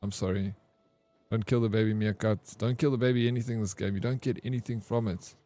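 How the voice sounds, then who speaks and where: Excitable South African guy streaming. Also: lowish voice, quick pace. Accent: South African, Johannesburg. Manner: excitable, slangy, reads chat aloud. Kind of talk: casual live-stream gaming chat.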